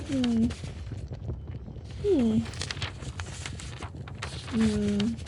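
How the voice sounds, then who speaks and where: A woman playing a brisk, bureaucratic female demon interviewing souls in hell, officious and dryly condescending, in a scripted ASMR roleplay. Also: a little high, slight background noise. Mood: appraising, intrigued.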